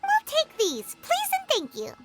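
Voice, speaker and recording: A woman voicing a tiny, excitable, bossy childlike fairy companion in a video game, with a squeaky voice and exclamatory manner. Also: measured pace, over loud music, exaggerated voice. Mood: amazed, eager. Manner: refers to self in third person, exclamatory.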